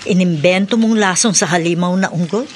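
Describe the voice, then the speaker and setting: A woman's voice: fairly low pitch, brisk, a little hiss or hum, close to an everyday voice. A woman acting an anxious younger companion in a radio horror drama, urgent and dramatic. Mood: anxious, wary.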